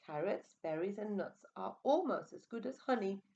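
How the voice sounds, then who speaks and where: A woman's voice: mid-range voice, animated, moderate pace, vocal fry, dark tone, muffled recording. A woman voicing a good-natured, hungry young male bear in a children's picture book, earnest and plaintive. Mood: pleased.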